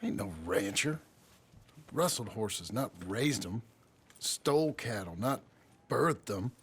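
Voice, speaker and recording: An actor playing a gruff, weary American outlaw turned ranch hand, speaking tersely in plain rural words with a gravelly voice in a game drama. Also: male voice, on the low side, expressive, slow pace, a little hiss or hum. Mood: downcast. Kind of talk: naturalistic video game drama acting.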